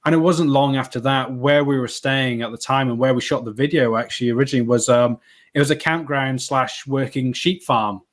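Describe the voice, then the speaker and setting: An easygoing man from Wales answers interview questions at a relaxed conversational pace. His pitch sits in an ordinary middle range, and he tells his stories with wry, self-deprecating humour. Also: a little fast. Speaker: podcast guest.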